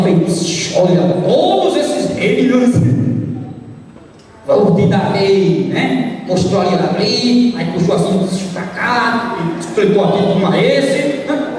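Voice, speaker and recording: Fervent high-voiced preacher in echoey hall. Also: male voice, distant mic. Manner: fervent preaching that swings from loud, high outbursts to low asides. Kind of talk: sermon. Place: large church hall.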